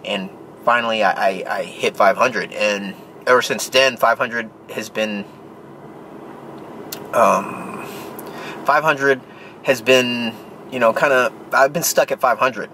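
Casual vlogger man in his thirties, bright tone, talks to a close camera from a parked car. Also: mid-range voice, takes it slowly, pauses often, a little hiss or hum. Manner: plain, rambling, many fillers and restarts. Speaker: vlogger, powerlifter. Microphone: handheld camera close to face.

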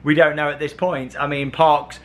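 A man's voice: on the high side, little pitch movement, moderate pace, fairly bright tone. A British man, probably in his thirties, narrating a travel vlog in a casual, chatty way and repeating himself. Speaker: travel vlogger. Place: varies: open car park, moving car, hotel room. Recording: clear background noise, car and outdoors.

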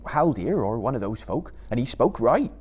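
A man's voice: mid-range voice, big pitch swings, moderate pace, slightly rough, dull audio. A man voicing a loyal, plain-spoken, cautious hobbit gardener with rustic, chatty phrasing in a live storybook reading. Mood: eager, reminiscing.

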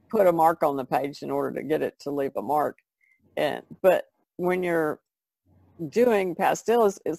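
Creaky, low-pitched woman chatting casually. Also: darkish tone, dry recording. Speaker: podcast guest artist. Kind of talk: casual conversation explaining craft.

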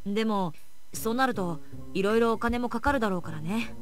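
A woman voicing a calm, kindly young woman in a visual novel, speaking in a soft, reassuring way. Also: a little high, a little fast, faint music behind, close to an everyday voice.

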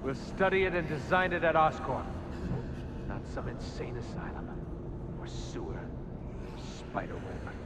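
An actor playing an arrogant, bitter, paranoid scientist in a video game, theatrical and sardonic. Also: male voice, mid-range voice, big pitch swings, a little slow, pauses often, heavy background noise. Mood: sardonic, smug. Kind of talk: dramatic video game cutscene acting.

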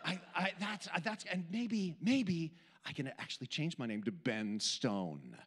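An older man from Switzerland, Swiss German his first language, giving a humorous sermon with animated, self-deprecating storytelling into a handheld mic on an indoor stage. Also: fairly high pitch, unhurried, husky. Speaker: camp speaker. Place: indoor hall stage with audience. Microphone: handheld microphone. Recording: long hall reverb.

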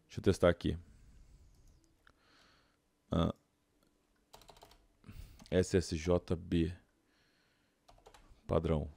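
A man's voice: low-pitched, flat delivery, extremely slow, vocal fry, long pauses, mellow, breathy edge, no echo. A Brazilian man doing casual gameplay commentary, informal and slangy, thinking aloud into a close mic. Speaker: gaming YouTuber.

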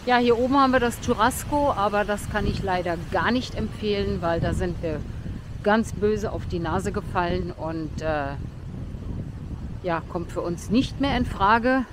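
Slow-talking woman touring a seaside promenade. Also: mid-range voice. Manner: chatty, pointing out sights. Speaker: vlogger. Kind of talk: casual walking tour narration. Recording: busy crowd noise behind. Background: crowd.

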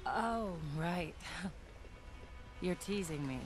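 An actress playing a genteel, unsure city-bred widow in a western video game, speaking in a refined, hesitant way with an American accent. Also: female voice, fairly low pitch, lively intonation, drawn-out words, soft background music. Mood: wry. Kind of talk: naturalistic video game acting. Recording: clearly noisy, outdoor ambience behind.

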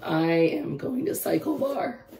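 A young woman in her twenties vlogging casually, hoarse as she loses her voice, rambling with lots of fillers. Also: fairly high pitch, big pitch swings, slow, dark tone, echoey room, far from the mic, a little hiss or hum. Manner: rambling, lots of "like" and "I don't know". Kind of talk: casual vlog chat. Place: car, bedroom and outdoor park. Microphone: phone or camera held at arm's length.

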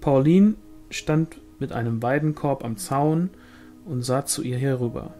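A man's voice: mid-range voice, a little slow, dark tone, soft background music. A man reading a novel aloud as scripted audiobook narration. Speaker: audiobook reader.

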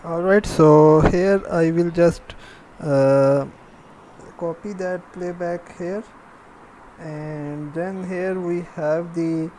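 Low, dark-voiced woman over noisy audio. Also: fairly even intonation. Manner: halting, piecemeal phrasing while typing. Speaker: programming tutorial presenter. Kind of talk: step-by-step screencast narration of coding.

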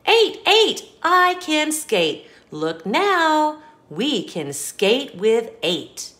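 A woman voicing boastful, playful animals from a picture book, with excited singsong bragging. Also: high voice, drawn-out words, reverberant, pitched above the performer's own voice, full character voice. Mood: excited, competitive. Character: boastful, playful cartoon animals showing off balancing tricks.

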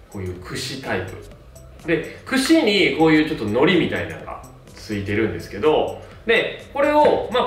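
A man in his thirties, a hairstylist, casually reviewing hair products with a relaxed, filler-heavy manner. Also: medium pitch, distant mic. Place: small plain indoor studio. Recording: roomy sound, mic set back, faint music.

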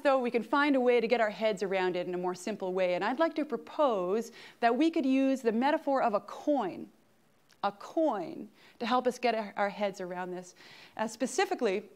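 Woman lecturing a hall with a Canadian accent. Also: a little high. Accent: Canadian English. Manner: engaging, checks in with the audience often. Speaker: guest speaker at grand rounds. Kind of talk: interactive lecture with audience voting. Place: large auditorium stage.

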